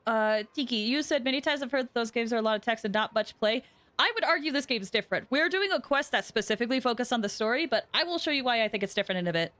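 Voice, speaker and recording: Chatty, lively-pitched woman livestreamer. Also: fast, dry recording. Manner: friendly, reactive, chatty. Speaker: game livestreamer. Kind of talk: casual livestream chat, reacting to game. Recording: game music behind voice. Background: game music.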